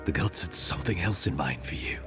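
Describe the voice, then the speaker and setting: Deep-voiced, solemn repentant assassin. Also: male voice, lively intonation, brisk. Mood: grave, earnest. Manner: grave, earnest, formal oratory. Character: solemn honorable repentant male assassin, devout zealot. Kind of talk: dramatic video game dialogue. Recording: game music behind the voice. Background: music.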